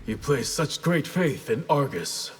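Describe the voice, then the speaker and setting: A man's voice: medium pitch, big pitch swings, unhurried. A man playing an arrogant, cold and ambitious ninja assassin in a video game, speaking formally and with haughty, clipped disdain. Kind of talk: video game character acting. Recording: clear noise behind.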